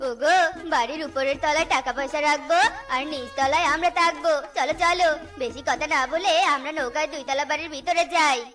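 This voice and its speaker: A bold tigress wife from a children's cartoon, acted by a woman, very high and sharp. She scolds brashly and folksily, with faint music behind. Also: brisk, few pauses. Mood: brisk.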